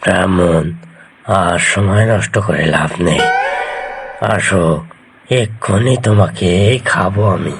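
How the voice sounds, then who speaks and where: Male actor as cartoon tiger, lowish pitch, gravelly, husky, hungry, wily. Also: takes it slowly, long pauses, very noisy. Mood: menacing, hungry. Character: hungry, treacherous tiger, pleading then menacing. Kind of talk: cartoon voice acting.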